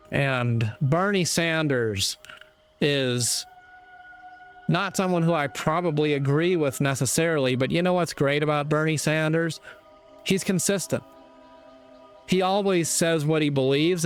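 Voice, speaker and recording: A man giving a reflective, sermon-like monologue in a deliberate, measured way, pausing between short phrases. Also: fairly high pitch, clear, resonant tone. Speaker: commentator giving a moral talk.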